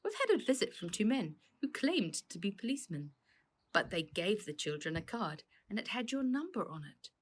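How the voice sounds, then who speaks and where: Woman reading as a concerned mum, gentle and calm, soft rasp, children's story. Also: mid-range voice, normal speed. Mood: concerned, comforting. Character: caring, calm mother explaining and comforting.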